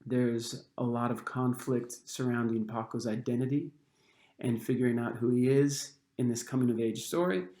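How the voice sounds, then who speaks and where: A man, the author, reading his own young adult novel aloud with expressive storytelling. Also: mid-range voice, moderate pace, echoey room. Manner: expressive storytelling, acting out the dialogue.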